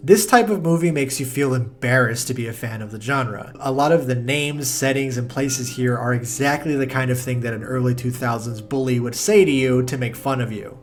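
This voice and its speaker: A man's voice: medium pitch, normal speed, few pauses. Sardonic man narrating a film review. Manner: sardonic, profane, exasperated. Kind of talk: video essay narration.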